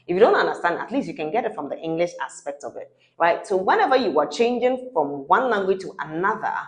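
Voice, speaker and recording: Low-voiced Ghanaian woman teaching French. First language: Fante. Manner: patient, repeats examples, checks in with "right?". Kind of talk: explanatory teaching talk. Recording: roomy echo.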